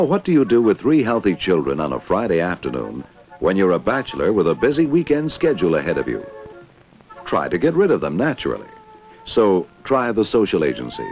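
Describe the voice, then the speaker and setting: An American man reading scripted narration for a 1960s corporate training film in a polished, measured announcer's delivery. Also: sits low. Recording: dull, dated 1960s film soundtrack.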